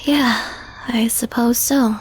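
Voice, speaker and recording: Woman acting a slow, hesitant, guarded alien woman. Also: a little high, fairly even intonation, breathy edge, full character voice, rough recording. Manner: halting, tentative. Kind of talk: audio roleplay drama acting.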